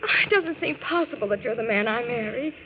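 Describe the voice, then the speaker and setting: A woman's voice: fairly high pitch, animated, brisk, natural voice. Through heavy noise and dull old radio sound comes an actress as a distressed wife. She protests and implores melodramatically, her intonation swinging in 1940s style. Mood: protesting, hurt. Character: distressed, pleading middle-class wife and mother. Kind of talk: 1940s radio drama acting. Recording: muffled, very noisy old radio recording.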